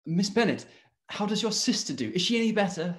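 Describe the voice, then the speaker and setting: A young man playing a kindly, courteous young gentleman in a period drama read over video call. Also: high-pitched, animated, brisk. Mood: concerned, obliging. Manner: courteous, eager. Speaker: actor in live read.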